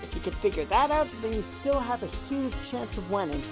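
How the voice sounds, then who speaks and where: A woman's voice: a little high, a little fast, natural voice. Woman playing a firm mentor over background music. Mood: firm encouragement. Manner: urging, steady. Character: firm, encouraging defense partner and mentor. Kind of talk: courtroom audio drama acting.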